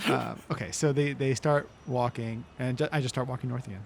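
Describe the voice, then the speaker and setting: A man's voice: mid-range voice, steady tone, brisk, creaky, a little hiss or hum. A man playing a tabletop game, chatting casually in a musing, questioning way.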